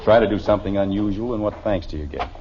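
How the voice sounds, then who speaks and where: A man's voice: on the low side, fast, lightly acted. An actor with an American accent playing a harried, exasperated middle-aged father in an old radio sitcom. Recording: muffled vintage radio, noise, studio audience.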